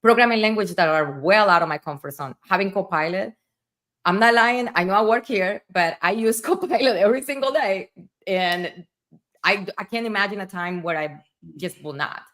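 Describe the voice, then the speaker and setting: Chatty host with a Spanish accent. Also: female voice, mid-range voice, moderate pace, fairly bright tone. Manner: enthusiastic, chatty, frequent fillers. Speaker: livestream host. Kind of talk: casual livestream conversation.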